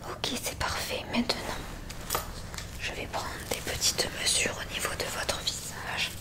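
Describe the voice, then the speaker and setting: Female ASMR examiner: breathy whisper, unhurried delivery, gentle and reassuring, close-miked. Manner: soothing, gentle, reassuring instructions. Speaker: ASMR creator playing an examiner in a roleplay. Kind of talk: whispered ASMR medical exam roleplay. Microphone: close ASMR microphone.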